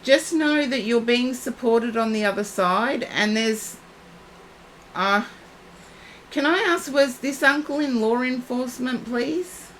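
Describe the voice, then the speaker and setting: A woman reads for viewers on a live stream, speaking slowly and in a calm, reassuring way. Her voice sounds a little high and has started to go hoarse. A faint hum of room noise sits behind her. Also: rough recording. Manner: soothing, reassuring, unhurried. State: losing her voice. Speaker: psychic medium streamer. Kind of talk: live-stream psychic reading.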